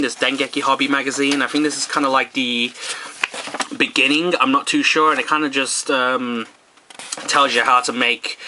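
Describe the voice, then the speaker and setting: A mid-pitched man talks his way through an unboxing in a relaxed, halting way, with frequent ums and quick self-corrections. His voice is a little worn, as though he is losing it. Plastic bags crinkle and rustle loudly throughout the noisy recording. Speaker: model kit channel host. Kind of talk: casual unboxing narration.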